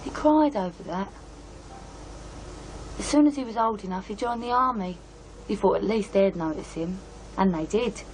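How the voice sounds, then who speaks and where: A woman's voice: mid-range voice, normal speed, long pauses, darkish tone, a little hiss or hum, lightly acted, low-quality recording. An actress playing a guarded, matter-of-fact young working-class woman with a British accent and blunt colloquial speech in an audio drama. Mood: bitter, matter-of-fact. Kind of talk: radio drama dialogue.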